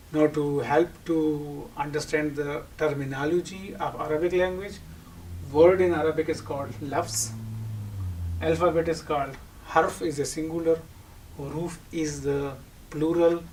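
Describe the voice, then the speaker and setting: A man's voice: fairly high pitch, fairly even intonation, measured pace, darkish tone. A man with a Pakistani accent and Urdu as his first language, giving an instructional lecture in an explanatory way, posing questions and answering them. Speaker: teacher. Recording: distant microphone, audible background noise.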